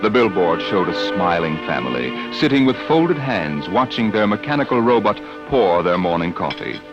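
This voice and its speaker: A man acting as a portentous, deliberate narrator of science fiction tales in the style of 1950s radio drama. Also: low-pitched, big pitch swings, dull audio. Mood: portentous, measured. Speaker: announcer and narrator. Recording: old radio broadcast recording. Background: music.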